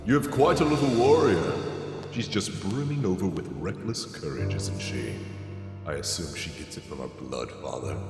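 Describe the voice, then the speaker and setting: A scheming warlord king in a theatrical cartoon dub. The voice is very deep and swings widely, grandiose and sardonic, with music clearly behind it. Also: lively intonation, normal speed. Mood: sardonic, probing. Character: proud, menacing warlord king, scheming and volatile. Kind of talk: theatrical amateur comic dub acting.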